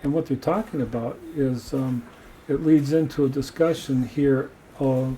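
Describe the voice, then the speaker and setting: Mellow-voiced man reading from a book. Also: medium pitch, steady tone, a little hiss or hum, rough recording. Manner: measured, reads passages aloud. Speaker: podcast host. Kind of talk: reading aloud from a book and discussing it.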